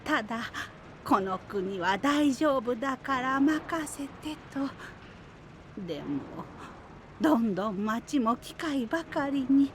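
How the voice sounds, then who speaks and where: Old village woman, kindly, slow, high lilting voice, faint noise, voice drama. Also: expressive. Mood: worried. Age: older. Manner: slow, grandmotherly, gently chiding. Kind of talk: anime voice drama.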